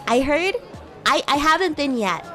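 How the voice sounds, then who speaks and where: A woman voicing a bratty, playful young demon schoolgirl in chatty livestream roleplay, with a teasing, giggly, halting manner. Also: sits high, expressive, bright, forceful tone. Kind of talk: improvised in-character livestream roleplay chat. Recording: music and crowd murmur behind. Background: music and crowd ambience.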